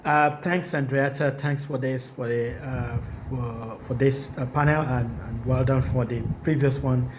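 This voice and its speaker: A man's voice: mid-range voice, fairly even intonation, measured pace, few pauses, slight background noise. A man from Nigeria with a Nigerian accent, speaking as a panelist on a video call and often restarting his sentences. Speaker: venture capital panelist. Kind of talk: panel discussion.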